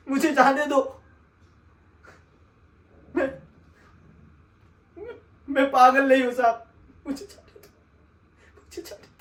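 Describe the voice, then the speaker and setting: A woman performing a dramatic monologue as a desperate orphaned son, pleading with a sahib, stammering and swinging between hushed and wailing delivery. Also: a little high. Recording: echoey room.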